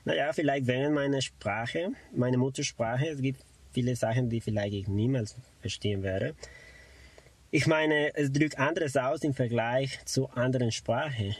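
A man's voice: mid-range voice, normal speed, husky. A young man from Colombia, raised in Mexico, with as his language, introducing himself as a German learner and carefully searching for words. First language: Spanish. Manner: careful, searching for words with frequent "naja" fillers. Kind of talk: self-introduction monologue.

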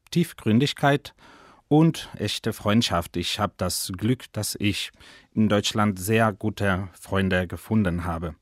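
A man's voice: low voice, dry recording, clean studio sound. A Spanish man in his forties, a native Spanish speaker who teaches German, talking about his love of the language in an earnest, enthusiastic way with a Spanish accent. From Spain. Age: 40s. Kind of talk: personal portrait interview.

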